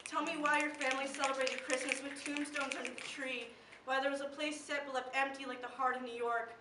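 A woman's voice: sits high, flat delivery, brisk. A young woman performing slam poetry with an impassioned, emphatic and rhythmic delivery. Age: teen or young adult. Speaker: slam poet. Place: live poetry event in a room. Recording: echoey room, distant mic, faint noise.

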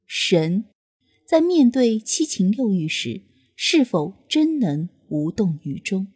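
A woman reading a novel as an audiobook narrator, in an expressive scripted storytelling style. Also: medium pitch, slow pace, husky.

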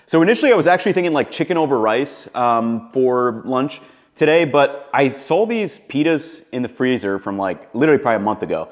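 A young man in his twenties, upbeat and relaxed, narrating his home cooking and chatting to the camera in his kitchen. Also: medium pitch, a little fast. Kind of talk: cooking vlog voice-over and casual to-camera chat.

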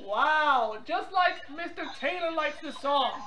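High-pitched incredulous woman on a call. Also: animated, slow pace, a bit bright, soft background music, low-quality recording. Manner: exclamatory, incredulous. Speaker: prank call participant. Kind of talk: casual phone chat.

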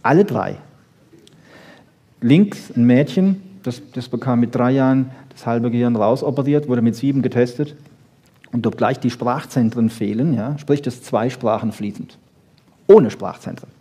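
Husky, mellow man giving a lecture. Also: medium pitch, normal speed. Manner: emphatic, with rhetorical questions and frequent "ja" checks. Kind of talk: popular science lecture to an audience, freely spoken.

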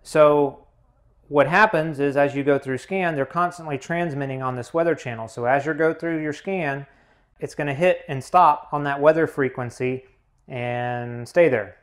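Male tutorial host, slightly high voice, methodical practical instruction. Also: normal speed. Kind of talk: hands-on instructional narration.

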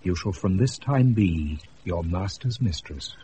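Casting: romantic British nobleman in radio Shakespeare, deep and measured, slightly hissy off-air sound. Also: expressive. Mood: stately, tender. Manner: measured, lyrical. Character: noble, romantic duke, stately and gracious. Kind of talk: 1980s radio Shakespeare acting. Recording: noisy off-air radio recording.

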